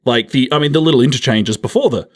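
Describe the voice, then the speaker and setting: A man's voice: medium pitch, fast. A middle-aged man co-hosting a podcast, talking casually about films with a dry, teasing humour. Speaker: podcast co-host. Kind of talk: casual film discussion.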